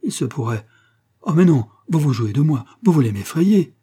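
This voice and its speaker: A man's voice: mid-range voice, normal speed, dark tone, husky. A man playing a gullible, impassioned nobleman who exclaims and pleads fervently in a comic audiobook. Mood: alarmed. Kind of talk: comic literary audiobook dialogue.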